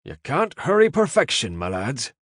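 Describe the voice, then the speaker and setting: A man's voice: mid-range voice, wildly swooping pitch, moderate pace, a bit bright, breathy edge, dry recording, studio quality, pitched above the performer's own voice, exaggerated voice. A man voicing a touchy, proud Irish safecracker in a thick, lilting brogue. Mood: wry.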